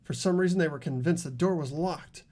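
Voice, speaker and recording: A performer playing an earnest, argumentative high school student with animated questioning in a fan-voiced game. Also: brisk, lightly acted. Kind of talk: fan voice acting of a video game script.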